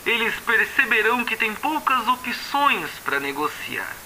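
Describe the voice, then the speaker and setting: A man's voice: high-pitched, bright, forceful tone, slight background noise, heavily acted, low-quality recording. A man with a Brazilian accent playing a cold, condescending corporate commander in an audio drama, heard as an intercepted radio recording. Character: cold, haughty male corporate commander, calculating and menacing. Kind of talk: audio drama villain acting.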